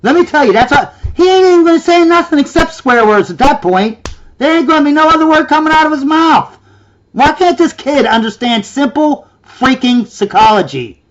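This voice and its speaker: A man's voice: extremely high pitch, lively intonation, normal speed, highs cut off. A man hosting a reaction video, ranting in a sarcastic, scornful way and mocking the clip he watches. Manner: sarcastic, scornful, mimics in a whiny voice.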